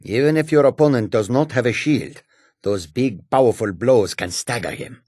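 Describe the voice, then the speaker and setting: An actor playing a shrewd, proud cat-folk warrior and trader in a fantasy video game, with a husky, rasping, theatrical delivery. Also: male voice, mid-range voice, moderate pace, a little mellow, no room sound, studio quality, lightly acted. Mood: instructive, firm.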